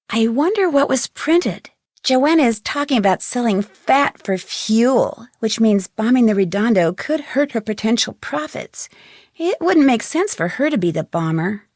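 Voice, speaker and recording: A woman playing a plucky, curious young detective in a video game, thinking aloud with clear diction. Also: fairly high pitch, no echo, studio quality. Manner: inquisitive, clearly enunciated musing.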